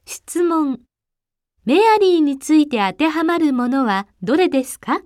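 High-voiced woman reading exam instructions. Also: lively intonation, fairly quick, a little mellow, dry recording, studio quality. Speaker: test narrator.

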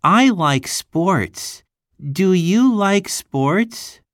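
A woman voicing a friendly young schoolboy from Japan, in a slow, carefully enunciated listening-test dialogue. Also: on the low side, animated, no echo, clean studio sound.

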